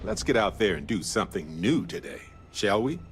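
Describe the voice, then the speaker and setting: An actor playing an amiable, earnest space explorer companion in a video game, speaking conversationally and sincerely. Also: male voice, fairly low pitch, big pitch swings, normal speed, a little hiss or hum. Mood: friendly, reflective.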